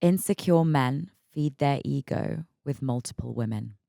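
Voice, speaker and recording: A young woman in her mid-twenties hosting a podcast in a raspy, hungover voice that sits very low and crackles with vocal fry. She chats candidly and laughs easily. The sound is dry and close, with no room echo. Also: unhurried, mellow. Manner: chatty and candid, laughs often, repeats words. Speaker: podcast host. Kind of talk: casual solo podcast chat.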